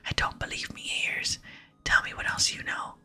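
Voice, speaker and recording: A woman voicing a gruff, doubting old male dwarf smith from a game in an expressive whisper. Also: normal speed. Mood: amazed. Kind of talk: whispered reading of game dialogue. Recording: close whisper with music behind.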